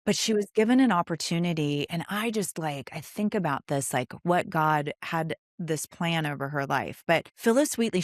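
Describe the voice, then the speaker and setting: A woman with a low-pitched voice hosts an interview in a conversational style. She speaks with emphasis and enthusiasm. The sound is dry and close, with little room in it. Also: no room sound. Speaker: podcast host interviewing an author.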